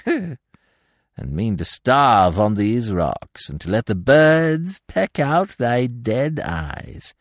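A man voicing a mocking, gloating demonic dwarf in a gothic storybook tale, screeching and gravelly, with theatrical archaic speech. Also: lowish voice, no echo, studio quality, heavily acted.

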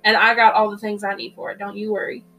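A woman's voice: medium pitch, little pitch movement, quick pace, fairly bright tone, lo-fi sound, faint music behind. A young adult woman chatting casually as a tabletop player, easygoing and rambling. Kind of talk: casual in-character roleplay.

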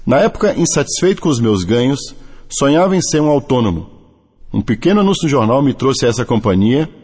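A middle-aged Brazilian man with a Brazilian Portuguese accent, reading a motivational testimony in a deliberate, earnest way. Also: mid-range voice, sing-song, darkish tone, slightly rough. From Brazil (Bahia). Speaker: network marketing distributor. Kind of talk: scripted motivational testimony and read-out translation. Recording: long hall reverb.

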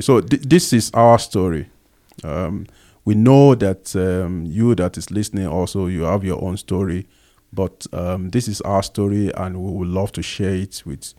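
A man with a Nigerian accent talks through a relaxed podcast with his wife. His voice sits very low and mellow, unhurried and earnest, with plenty of thoughtful pauses. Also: no echo, studio quality. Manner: unhurried, earnest, frequent um fillers. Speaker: podcast co-host. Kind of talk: casual podcast chat.

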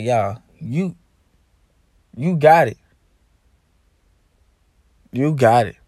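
A man's voice: medium pitch, dry recording, studio quality. A man hosting his own podcast, talking casually, loosely and profanely as he encourages and preaches to his listeners. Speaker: podcast host. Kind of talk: casual motivational monologue.

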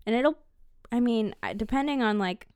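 A woman's voice: on the high side, moderate pace, a little mellow, no echo. A woman in her mid-twenties co-hosting a podcast, chatting casually, telling stories and teasing her friend. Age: 20s. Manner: easygoing, teasing, storytelling. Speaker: podcast co-host. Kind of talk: casual chat.

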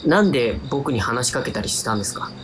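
An actor playing an overlooked, resigned young man in a radio drama, speaking in a flat, subdued way. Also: mid-range voice, fast, background noise, natural voice. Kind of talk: radio drama acting and narration.

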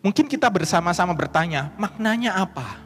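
A male preacher with a broad Banyumas Javanese accent and a somewhat high voice. He reads and preaches with emphatic, rising delivery. The sound carries a long church reverb. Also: animated, brisk, fairly bright tone. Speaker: pastor. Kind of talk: sermon and scripture reading.

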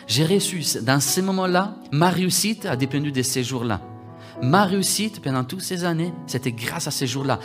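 A man from Italy, preaching a livestreamed sermon that repeats its phrases and builds from quiet talk to loud exhortation. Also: fairly high pitch. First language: Italian.

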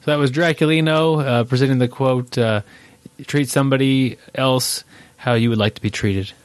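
A man hosting a casual podcast chat, nasal and congested from allergies, relaxed and laughing easily. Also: medium pitch, moderate pace, no echo. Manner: relaxed, laughs often, filler-heavy. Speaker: podcast host.

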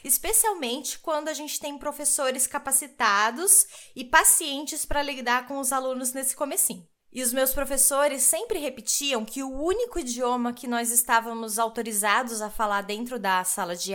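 Brazilian woman, solo podcast host; high, bright-toned, enthusiastic and conversational, speaks directly to the audience. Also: normal speed, hardly any pauses. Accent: Brazilian Portuguese. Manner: chatty, addresses listeners directly; enthusiastic, laughs often.

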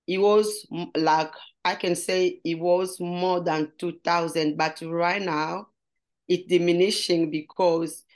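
A woman's voice: on the low side, fairly even intonation, slow pace, a little mellow. Congolese-accented woman, earnest and searching. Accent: Congolese French. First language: French. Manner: earnest, searching for words in English. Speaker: guest. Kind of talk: interview conversation.

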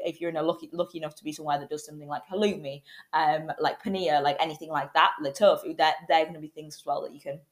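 A young woman with a cold, her voice hoarse and half gone. She talks quickly in a low register, rambling casually through advice with plenty of fillers and restarts. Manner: chatty, many fillers and restarts. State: full of cold, losing her voice. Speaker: podcast host. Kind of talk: casual solo podcast advice.

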